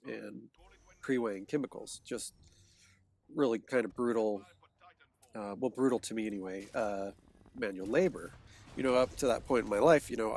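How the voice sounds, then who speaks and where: A man's voice: fairly low pitch, a little mellow. Congested man rambling through his past. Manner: hesitant, many restarts and fillers. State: congested from a cold, sniffling. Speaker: vlogger recounting his past. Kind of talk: reflective personal monologue.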